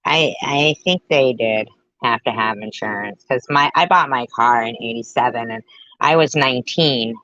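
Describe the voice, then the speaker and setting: A woman's voice: a bass voice, normal speed, fairly bright tone, no echo, lo-fi sound. A woman in her fifties hosting a reaction commentary, blunt and sarcastic, often repeating herself and swearing. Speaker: commentary host.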